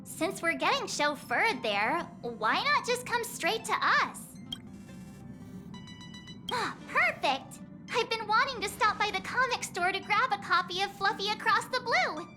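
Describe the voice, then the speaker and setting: Super high bouncy game girl, cheeky and bubbly, swoops all over, soundtrack behind. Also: big pitch swings, unhurried, heavily acted. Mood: perky. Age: young adult. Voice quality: bright, bouncy, playful. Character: bubbly, cheeky young woman adventurer. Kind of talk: video game voice acting. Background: game soundtrack music.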